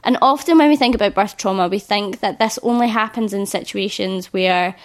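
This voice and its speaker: Woman, slightly croaky, earnest reassuring podcast host, birth educator. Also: medium pitch, fairly quick. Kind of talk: solo podcast talk.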